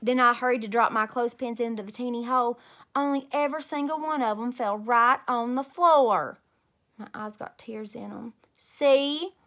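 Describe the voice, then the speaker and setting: Female read-aloud teacher, thirties, fairly high bright voice, expressive and emphatic. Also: moderate pace. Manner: animated, emphatic. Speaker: teacher reading aloud to children. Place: small room at home.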